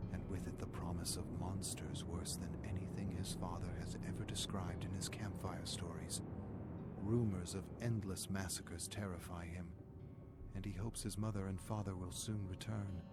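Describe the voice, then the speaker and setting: A man narrating a scripted dramatic story in a measured, solemn way. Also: low-pitched. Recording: music behind the voice. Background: music.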